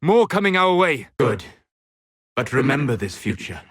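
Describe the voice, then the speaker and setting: A man playing a calm, scholarly, melancholy elven mage in a video game, speaking in deliberate, formal phrases. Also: medium pitch, breathy edge, dry recording, studio quality. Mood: grave, measured. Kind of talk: scripted video game character dialogue.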